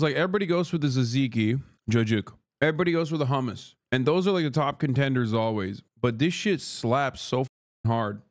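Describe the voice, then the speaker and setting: A man in his thirties, from Turkey, chatting casually and profanely on a livestream while eating, close to a studio microphone in a small room. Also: mid-range voice. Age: 30s. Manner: brash, profane, joking, talks with food in mouth. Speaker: streamer. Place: small wood-paneled room, indoors. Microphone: studio mic on boom arm close to face.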